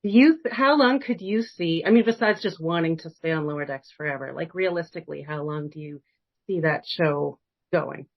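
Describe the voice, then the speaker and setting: Woman interviewer with a lowish voice. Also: moderate pace, lo-fi sound. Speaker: podcast interviewer. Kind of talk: casual interview chat.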